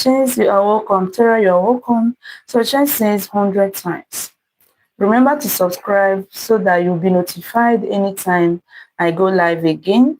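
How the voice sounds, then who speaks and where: A woman with a Nigerian accent speaks slowly at a medium pitch. She hosts a live lesson in an unhurried, conversational way, reading out viewer comments and thanking people as they join. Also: a little mellow, low-quality recording. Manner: unhurried, repeats greetings and thanks to viewers by name. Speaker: live-stream host teaching math puzzles.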